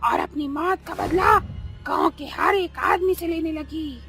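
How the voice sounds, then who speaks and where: Very shrill vengeful spider witch. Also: a little slow, a bit bright, soft background music, full character voice. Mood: bitter reminiscing. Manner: shrill, menacing, dramatic. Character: vengeful giant female spider witch ghost. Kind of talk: Hindi cartoon horror story acting.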